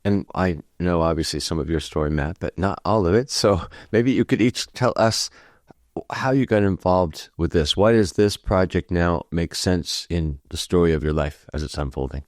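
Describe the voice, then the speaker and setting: Host, male, deep voice, affirming interviewer, dry close sound. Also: moderate pace, no room sound. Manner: affirming, probing questions. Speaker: podcast host.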